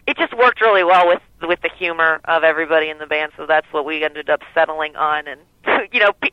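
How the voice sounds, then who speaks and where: A woman who sings lead in a band, with a lower voice, talking in a relaxed, rambling way with many fillers during a phone interview. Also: no echo. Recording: dull lo-fi phone line.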